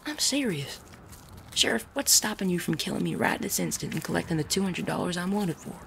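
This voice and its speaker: A woman playing an articulate, sardonic gentleman bandit in a western audio drama. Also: fairly low pitch, animated, a little fast, creaky, slight background noise. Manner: articulate, persuasive. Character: suave, sardonic gentleman bandit of the Wild West.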